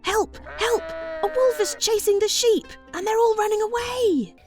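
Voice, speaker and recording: A woman voicing a mischievous young shepherd boy in a children's storybook, calling out urgently in a high voice. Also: expressive, measured pace, music in the background, full character voice. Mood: frantic yelling.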